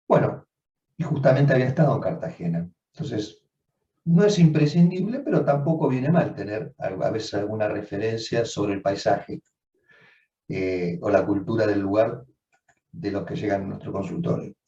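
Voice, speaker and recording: A man, a psychoanalyst, giving a measured, reflective seminar lecture, often rephrasing as he goes. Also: fairly low pitch, lively intonation, warm tone. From Argentina. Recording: dull, roomy sound from far off.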